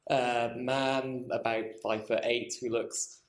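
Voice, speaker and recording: A man's voice: mid-range voice, level tone, takes it slowly. A man speaking as a festival director on a panel, informal and wry, with self-deprecating asides. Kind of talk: panel discussion, informal spoken account. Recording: echoey room.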